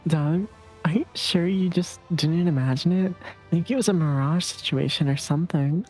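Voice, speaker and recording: A woman's voice: sits low, moderate pace, warm tone, soft background music, lightly acted, poor audio. A woman voicing an eager, cheeky protagonist in a comedy game, playful and dramatic. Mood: pleading. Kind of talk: voiced reading of comedy game dialogue.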